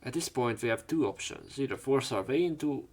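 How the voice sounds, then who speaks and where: A man's voice: mid-range voice, expressive, brisk. A man hosting a let's play, reading game text aloud and adding casual, joking commentary.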